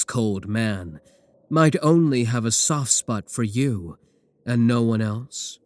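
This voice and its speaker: A man playing a possessive werewolf professor in an intimate ASMR roleplay, speaking deliberately with coolly affectionate menace. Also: medium pitch, no room sound. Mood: coaxing, teasing. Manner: slow, deliberate, soft chuckles, pet names. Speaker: voice actor in a roleplay audio.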